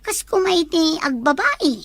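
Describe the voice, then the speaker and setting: Woman playing a high-voiced, probing female confidante. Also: sing-song, measured pace, fairly bright tone, no echo, exaggerated voice. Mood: probing, insinuating. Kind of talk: audio drama acting.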